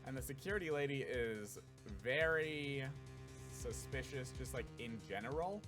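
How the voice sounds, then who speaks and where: A man's voice: mid-range voice, lively intonation, measured pace, music in the background. A man giving casual commentary while playing through a game.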